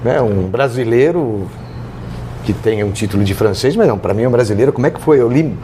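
Fast-talking male interviewer, noisy background. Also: mid-range voice, expressive, mellow. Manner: chatty, eager, prompts with questions. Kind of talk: casual interview. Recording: noticeable background noise.

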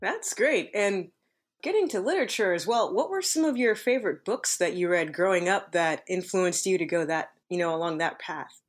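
A woman's voice: fairly low pitch, animated, normal speed. Upbeat lady hosting a podcast, friendly intro then easy chat. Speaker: podcast host.

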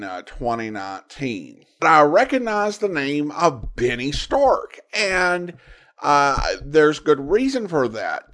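A man giving unscripted solo commentary as a podcast host, halting and reflective, often wandering off on tangents. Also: mid-range voice, a bit bright.

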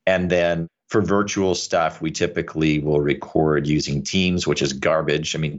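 A man's voice: extremely low pitch, highs cut off. A man speaking as a lawyer and podcaster, candid and self-deprecating in a casual conversation. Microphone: USB microphone into a computer.

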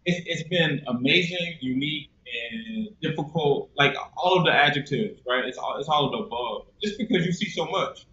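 A man's voice: a little high, normal speed, few pauses. A young man from South Carolina, a talkative guest who laughs often and fills his speech with ums, heard over a video call. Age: young adult. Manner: chatty and animated, laughs often, many fillers. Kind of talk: conversational interview. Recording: echoey room, muffled audio, faint noise.

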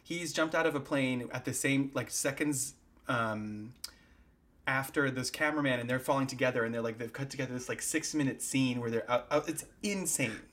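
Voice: medium pitch, normal speed, a bit bright. A podcast host in casual chat, talking in a rambling, hesitant way with many fillers and steering the talk toward final thoughts.

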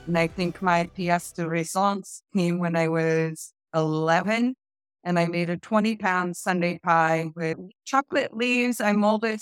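A woman's voice: lowish voice, smooth, dry recording. A woman in her fifties from the United States, telling personal stories as an author being interviewed. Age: 50s. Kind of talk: conversational storytelling interview.

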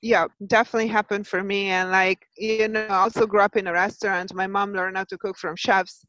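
Woman with an Italian accent, forties, animated and chatty, laughing often, medium pitch, dry close sound. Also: fairly quick, no echo, dull audio. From Italy. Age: 40s. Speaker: guest. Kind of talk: casual interview chat.

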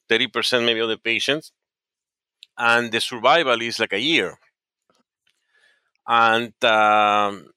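Earnest Peruvian-accented man, bright smooth voice. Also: mid-range voice, no echo, studio quality. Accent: Peruvian Spanish. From Peru. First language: Spanish. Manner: earnest, halting with frequent fillers and restarts. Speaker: oncologist guest. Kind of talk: podcast interview.

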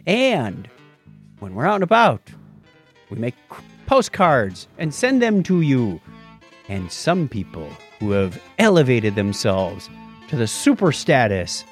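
A man's voice: mid-range voice, very animated, unhurried. A man co-hosting a podcast from a studio, chatting casually and playfully, moving between announcements and banter. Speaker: podcast co-host. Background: music.

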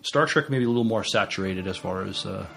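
A man with a fairly low voice chats as a podcast co-host. His delivery is informal and halting, as he restarts and qualifies his points. Faint music plays underneath. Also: vocal fry, fairly bright tone. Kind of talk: casual unscripted fan chat.

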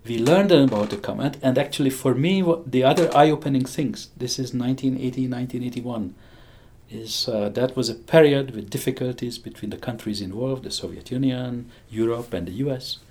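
A man's voice: medium pitch, fairly quick, a little mellow. A man in his sixties with a Hungarian accent, talking enthusiastically in an interview, chuckling often and restarting his sentences. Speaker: physicist interviewee. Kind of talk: conversational interview.

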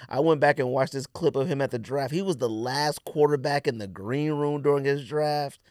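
An American man co-hosting a podcast, chatting casually about sports in an animated, opinionated, slangy way. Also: medium pitch, moderate pace, no echo, clean studio sound. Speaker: sports and sneaker podcast co-host.

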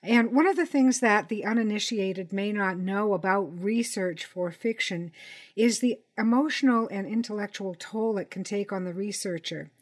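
A woman presenting and interviewing as a podcast host, speaking in a measured, articulate and polite way. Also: medium pitch.

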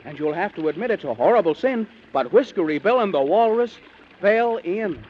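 Animated, high-pitched male storyteller, dull old recording. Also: moderate pace, husky. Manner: theatrical, sing-song rhyming delivery. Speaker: narrator of a children's radio serial. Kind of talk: 1930s radio storytelling in rhyme. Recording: dull, lo-fi 1930s radio recording.

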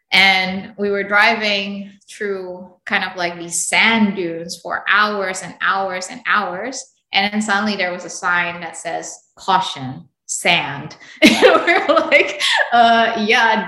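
Bright-voiced woman in an echoey room. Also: medium pitch. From Indonesia. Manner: animated storytelling, frequent laughs. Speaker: podcast guest. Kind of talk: casual interview chat.

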